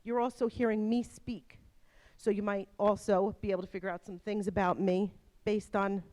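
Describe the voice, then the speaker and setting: A woman's voice: mid-range voice, normal speed. Woman with a New Jersey accent, presenting. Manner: lively, keeps asking "right?". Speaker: workshop moderator. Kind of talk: presenting to a room of staff. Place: university conference room.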